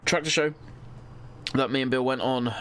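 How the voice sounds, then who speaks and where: A man's voice: medium pitch, steady tone, moderate pace, fairly bright tone, a little hiss or hum. A young man with a stuffy, congested voice, chatting casually as a gaming channel host and thinking aloud while he plays. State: congested from a cold. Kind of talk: casual let's-play commentary.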